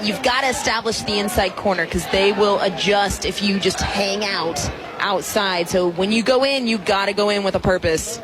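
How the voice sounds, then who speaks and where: A woman giving conversational live color analysis on a softball radio broadcast. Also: mid-range voice, normal speed. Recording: loud crowd and music behind broadcast. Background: ballpark crowd and music.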